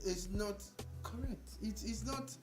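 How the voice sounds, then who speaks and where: A radio co-host makes a point with exasperated energy. The voice sits at a middle pitch and shoots up high for emphasis. Music plays clearly underneath. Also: measured pace. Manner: animated and exasperated, pitch leaps up when making a point. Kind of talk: news analysis chat.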